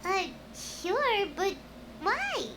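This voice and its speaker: A woman voicing a tiny, curious childlike fairy companion in a video game, with a squeaky, chirpy delivery. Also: big pitch swings, extremely slow, heavy background noise, heavily acted. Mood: surprised, puzzled. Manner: chirpy, speaks of herself in third person. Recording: game music playing behind.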